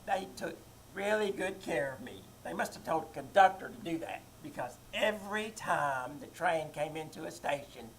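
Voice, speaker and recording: A fussy, martyred old mother in a 1940s-style radio comedy, acted by a woman, her voice lowish and grumbling. A faint hiss sits under the live stage sound. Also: lively intonation, normal speed, rough recording. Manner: passive-aggressive, self-pitying repetition. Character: nagging, martyred elderly mother-in-law.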